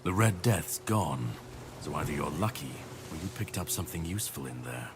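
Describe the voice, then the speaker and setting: An actor playing a grim, world-weary warrior on a mission in a video game, speaking in a grave, measured way. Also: male voice, rumbling low, animated. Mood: wry, relieved. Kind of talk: dramatic video game dialogue acting. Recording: clear background noise and ambience.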